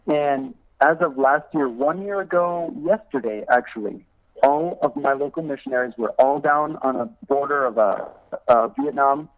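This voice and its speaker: A middle-aged man calling in as a missionary guest, telling stories in a lively, animated way and laughing often. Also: medium pitch, normal speed. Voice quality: laughs often while talking. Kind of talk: phone interview, storytelling. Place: calling in from abroad. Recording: choppy phone line.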